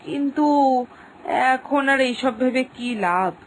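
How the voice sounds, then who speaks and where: A woman's voice: high voice, expressive, unhurried, slight background noise, poor audio. Woman voicing a fretful village mother. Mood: defensive. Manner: fretful, emotional, rambling. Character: fond village mother Boni's ma, simple and fretful. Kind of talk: cartoon voice acting.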